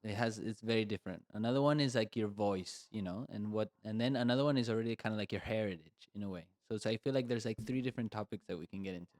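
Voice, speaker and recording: Low-voiced man, rambling podcast co-host. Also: fast talker, few pauses, darkish tone, no room sound, clean studio sound. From Argentina. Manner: thoughtful and rambling, heavy use of "like" and "you know". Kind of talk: casual conversation.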